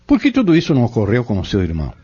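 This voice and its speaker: A man with a Brazilian accent playing an earnest spiritual teacher in an audio novel, explaining in measured phrases and rhetorical questions. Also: medium pitch, huge pitch swings, dark tone, husky. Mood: earnest, instructive. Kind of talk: dramatized audio novel dialogue, didactic. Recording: dull lo-fi audio.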